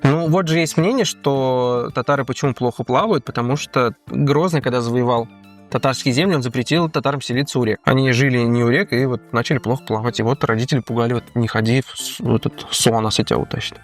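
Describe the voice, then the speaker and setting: A man's voice: mid-range voice, fast talker, soft background music. A man co-hosting in casual chat, quizzing his partner in a teasing, colloquial way. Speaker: co-host and quizmaster of a Tatar language-learning show. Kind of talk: casual chat, giving quiz hints.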